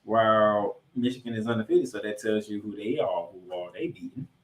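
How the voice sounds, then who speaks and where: A man's voice: on the low side, moderate pace. An American man hosting a football podcast, giving a recap and preview with an emphatic, colloquial delivery. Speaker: sports podcast host. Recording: roomy, echoing sound.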